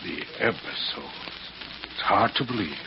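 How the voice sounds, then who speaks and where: An actor playing a dignified, genial American judge in a 1940s-style radio drama. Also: male voice, lowish voice, expressive, normal speed, natural voice. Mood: doubtful, sober. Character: dignified male judge, former district attorney, genial. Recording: dull, faintly noisy old radio recording.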